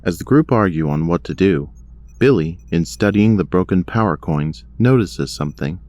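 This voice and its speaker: A man's voice: sits low, lively intonation, normal speed, darkish tone, no room sound. A man narrating an audio drama in a scripted storytelling style.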